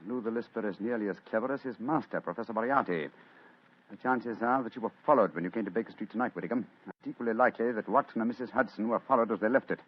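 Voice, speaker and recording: Man acting as a commanding male detective, fast-talking, lowish voice, dull old radio sound. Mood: brisk. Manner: crisp, authoritative, quick-witted. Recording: dull old radio recording, faint hiss.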